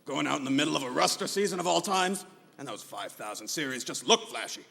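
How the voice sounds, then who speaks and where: Casting: male actor as crotchety old pa, gravelly and sharp, rambling, echoing live hall. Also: a little high, lively intonation, quick pace, full character voice. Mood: grumpy. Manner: grumbling, rambling. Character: cranky old father, grumbling junk dealer. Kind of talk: live sci-fi radio comedy acting.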